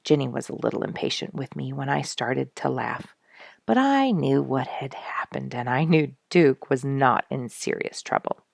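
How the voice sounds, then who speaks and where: Low-voiced, animated woman storyteller. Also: normal speed, gravelly, no echo, clean studio sound. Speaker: storyteller reading a children's book aloud. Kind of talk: expressive scripted narration.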